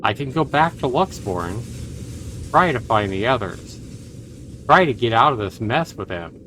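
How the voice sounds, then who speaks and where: A man's voice: mid-range voice, fairly bright tone. A man streaming a visual novel, reading it aloud theatrically and emphatically, with his own commentary. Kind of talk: dramatic game read-aloud with commentary. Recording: noisy home livestream.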